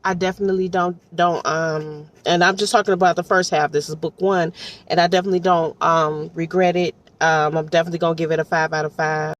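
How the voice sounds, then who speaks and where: A woman's voice: on the low side, level tone, quick pace, lo-fi sound. A woman from Detroit with an American accent gives casual, rambling commentary in a slangy, conversational way full of asides. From Detroit, United States. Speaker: audiobook reviewer. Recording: distant mic with faint background noise.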